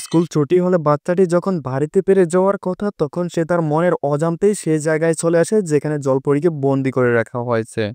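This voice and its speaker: A man's voice: fairly high pitch, quick pace, few pauses, a little mellow, clear, resonant tone, no room sound. A man giving a scripted storyteller's narration for a fairy-tale cartoon. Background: prominent music.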